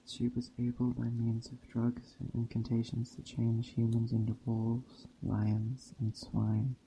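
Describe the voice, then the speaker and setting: A man speaking softly and unhurriedly with long pauses, in an ASMR roleplay as a gentle, hospitable witch. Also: medium pitch, monotone, background noise. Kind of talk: soft-spoken ASMR roleplay narration. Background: rain and forest ambience.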